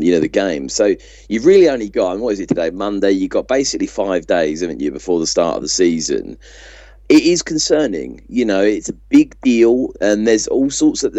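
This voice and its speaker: A man's voice: sits low, warm tone, dry recording. A man co-hosting a sports podcast in casual, conversational style, recorded on a slightly dull microphone. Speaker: podcast co-host.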